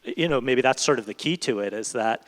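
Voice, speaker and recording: A man's voice: mid-range voice, brisk. A man speaking as a literary translator and guest in an on-stage conversation, thoughtful and digressive, often searching for his words. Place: large hall, public event. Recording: reverberant hall.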